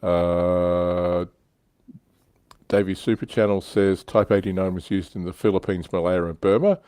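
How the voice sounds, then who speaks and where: A man's voice: deep voice, steady tone, measured pace, dark tone, clear, resonant tone, no room sound, studio quality. A man hosting a casual hobby livestream, reading out comments and chatting in an informal, wry way. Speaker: livestream host.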